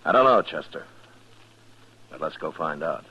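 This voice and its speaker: An American actor playing a stern, steady frontier lawman in an old radio Western, husky and terse with a dry, unflinching delivery. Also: rumbling low, normal speed, lo-fi sound. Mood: wary, measured. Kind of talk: 1950s Western radio drama acting.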